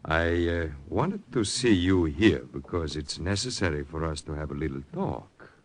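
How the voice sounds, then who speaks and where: A suave, scheming police count, lowish and silky, swooping freely through his pitch as he coaxes. Old radio drama delivery, smooth and sly. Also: animated, moderate pace. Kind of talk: 1940s radio acting.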